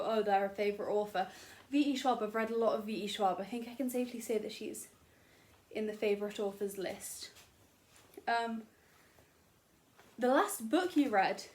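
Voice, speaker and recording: A young woman chatting casually to camera about books in her bedroom, rambling and self-deprecating with lots of fillers. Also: fairly high pitch. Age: young adult. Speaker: booktuber. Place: bedroom at home.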